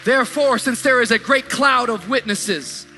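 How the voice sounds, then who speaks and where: A man's voice: very high-pitched, normal speed, sharp tone, faint music behind. A man preaching an impassioned motivational sermon, emphatic and declamatory, repeating phrases for effect in colloquial language.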